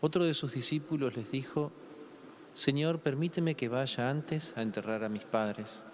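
A priest in his thirties with a Rioplatense Spanish accent speaks into a lectern microphone in a large church. He preaches gently and conversationally, with a middle-range voice and many "eh" fillers. His voice carries a long reverb from the hall, over a faint background hum. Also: medium pitch, a little slow. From Argentina. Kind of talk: gospel reading and homily.

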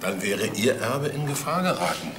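An actor playing a sardonic, probing police detective with dry, mocking politeness in a crime audio drama. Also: male voice, medium pitch, very animated, moderate pace, reverberant, noisy background, natural voice.

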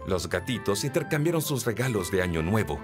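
A man's voice: on the low side, big pitch swings, a little fast, music in the background. A man with a Latin American Spanish accent reading scripted narration for a children's cartoon.

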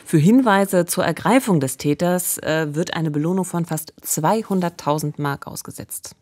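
A woman's voice: fairly low pitch, normal speed, darkish tone. A woman in her forties co-hosting a true-crime podcast, narrating conversationally at a studio microphone. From Eberswalde area, Germany. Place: wood-panelled podcast studio. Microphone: studio condenser mic with pop filter.

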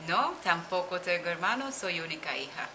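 A woman in her forties from Cuba with a Cuban Spanish accent, answering interview questions slowly with many pauses, recorded on a webcam in a small office. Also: on the low side, very animated, pushed and bright, a little hiss or hum. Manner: hesitant, thinks aloud with fillers. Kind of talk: slow, careful conversational answers. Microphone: webcam at desk.

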